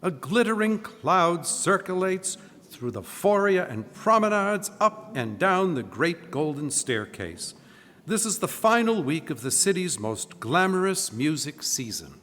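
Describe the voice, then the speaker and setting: A man plays a polished 1940s-style radio announcer. The scripted delivery swings widely in pitch, in a slightly high voice. It resounds in a big live hall. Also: sing-song, measured pace, a bit bright. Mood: dramatic narration. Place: church, live stage performance.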